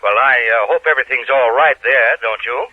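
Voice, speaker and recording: A man's voice: mid-range voice, a little fast, dry recording. A man playing a fussy insurance office boss in old-time radio drama, speaking hesitantly and indirectly through a telephone filter. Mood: sly, probing. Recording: thin telephone line, old radio recording.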